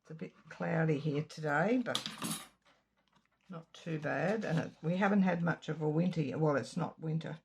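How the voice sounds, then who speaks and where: A woman with a slightly croaky throat giving a chatty card-making tutorial, drifting into asides while she works. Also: low-pitched, moderate pace, slight background noise. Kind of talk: casual craft tutorial. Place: home craft room.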